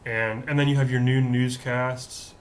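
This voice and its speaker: A man with a deep voice hosting his own daily vlog, rambling in a chatty, self-mocking way with lots of fillers. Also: little pitch movement, unhurried, slight background noise. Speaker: vlog host. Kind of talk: casual rambling vlog monologue.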